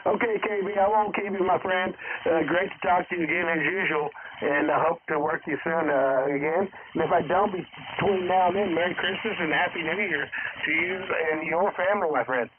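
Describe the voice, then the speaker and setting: Through a narrow, staticky radio channel comes a man with a somewhat high voice. He is an amateur operator chatting in an affable, slightly halting manner. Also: noisy background. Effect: radio transmission. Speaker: ham radio operator calling in. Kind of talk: friendly radio sign-off chat.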